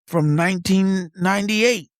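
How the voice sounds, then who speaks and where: A man's voice: high voice, measured pace, no room sound, clean studio sound. A man co-hosting a podcast, giving scripted, conversational commentary in short, wry statements. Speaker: podcast co-host.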